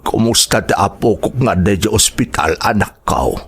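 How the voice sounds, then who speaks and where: A man playing an older, distressed grandfather in an audio drama, husky and lamenting. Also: on the low side, expressive, moderate pace. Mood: worried, shocked. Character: distressed grandfather of a sick child.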